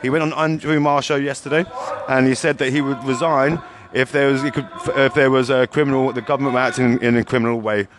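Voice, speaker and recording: A man's voice: mid-range voice, steady tone, quick pace, crowd noise. A man hosting a podcast, giving casual, rambling live commentary that often repeats phrases. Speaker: podcast host.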